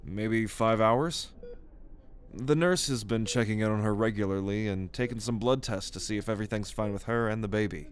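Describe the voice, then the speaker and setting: A man's voice: on the low side, moderate pace, lightly acted. A man playing a loyal, wry and slightly awkward young brother-in-law in a conversational audio roleplay drama. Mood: explaining. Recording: clear background noise and ambience.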